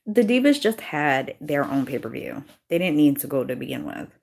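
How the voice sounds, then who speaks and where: A woman with a low, deep-set voice and a Worcester, Massachusetts accent, rambling through a casual live-stream chat. She talks bluntly and swears freely. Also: sing-song, moderate pace, vocal fry, darkish tone. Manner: blunt, profane and chatty. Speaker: YouTuber hosting a live stream.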